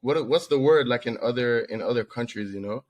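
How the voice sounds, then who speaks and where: A man's voice: mid-range voice, fairly quick. A man from the Netherlands co-hosting a podcast, telling stories casually with slangy asides. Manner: animated storytelling with slangy asides. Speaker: podcast co-host.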